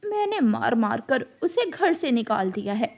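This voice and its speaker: A woman's voice: on the high side, very animated, measured pace, vocal fry, a little mellow, full character voice. A woman voicing a harsh, hot-tempered stepmother bird in a cartoon story, scolding and snappish. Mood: spiteful.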